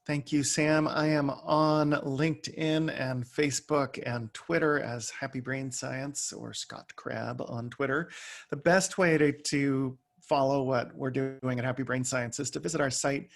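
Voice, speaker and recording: Guy expert guest on a podcast, gravelly creaky voice, explaining stuff conversationally. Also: mid-range voice, steady tone, a little slow.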